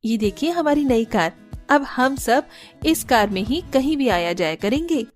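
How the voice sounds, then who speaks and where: A woman's voice: sits high, lively intonation, a little slow, soft background music. A woman voicing a firm but caring young daughter-in-law who reasons earnestly in a cartoon story. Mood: pleased, earnest. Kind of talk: Hindi cartoon story dialogue.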